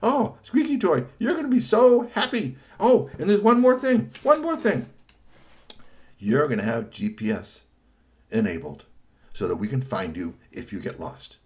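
A man's voice: on the low side, moderate pace, a little mellow, close to an everyday voice. A man voicing an overexcited boy inventor in a told story, who gushes in long tumbling lists. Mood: gleeful. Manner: gushing, tumbling run-on lists.

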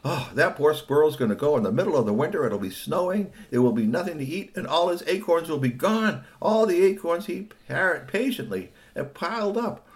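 A man's voice: deep voice, lively intonation, measured pace, pushed and bright, distant mic, exaggerated voice. A man voicing an indignant, outspoken woman in a children's story, exclaiming and scolding. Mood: dismayed.

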